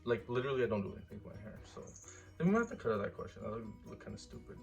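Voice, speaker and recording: A man's voice: mid-range voice, big pitch swings, very fast, creaky, a little mellow, muffled recording, faint music behind. A man in his early twenties chatting casually in a couple Q&A vlog, easygoing and rambling with fillers. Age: 20s. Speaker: vlogger.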